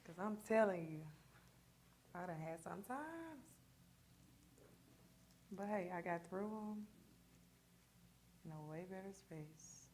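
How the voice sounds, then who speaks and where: Hairstylist, woman, lively, slangy, talks to viewers. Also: lowish voice, takes it slowly, pauses often, slight background noise. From Mississippi. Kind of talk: casual chat during a live hair install. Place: beauty studio.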